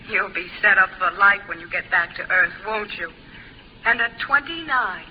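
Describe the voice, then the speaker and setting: An actress playing a cool, calm imaginary woman companion in a 1950s radio drama, speaking gently and with measure. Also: mid-range voice, normal speed, close to an everyday voice. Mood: gently wounded. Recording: noisy muffled 1950s radio recording.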